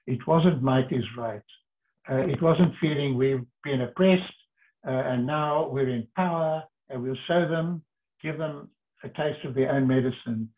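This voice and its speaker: An older man from South Africa, a lawyer, telling his story in an earnest, measured way with pauses. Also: mid-range voice. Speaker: interviewee, lawyer. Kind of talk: reflective storytelling. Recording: tinny phone-like line.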